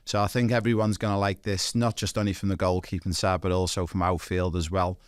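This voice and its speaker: A man's voice: low-pitched, level tone, brisk, smooth, dry recording, studio quality. A man hosting a podcast interview, chatty and enthusiastic, introducing his guest and asking questions. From Europe. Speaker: podcast host.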